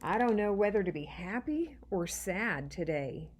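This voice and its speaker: A woman's voice: medium pitch, animated, measured pace, a little hiss or hum, close to an everyday voice. A woman voicing earnest, wistful and eager second-grade schoolchildren in a storybook, with emphatic delivery. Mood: concerned. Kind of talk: storybook character dialogue.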